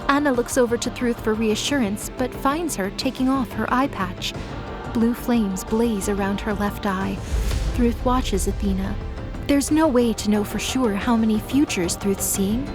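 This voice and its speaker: Woman narrating a drama over music. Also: fairly high pitch.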